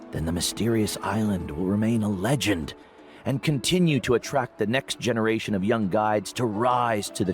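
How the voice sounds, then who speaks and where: A man playing a calm, wise old guide mentor. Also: fairly low pitch, close to an everyday voice. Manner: measured, reflective. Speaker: veteran guide and teacher. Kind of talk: game dialogue. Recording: game audio with faint music.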